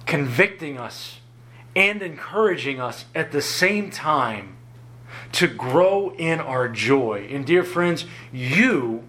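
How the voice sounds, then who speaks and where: Earnest male preacher, voice low from illness. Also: lively intonation, fairly bright tone. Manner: earnest, chuckles often, rises in pitch for emphasis; halting, repeats words when ad-libbing. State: recovering from illness, voice lower than usual. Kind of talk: sermon.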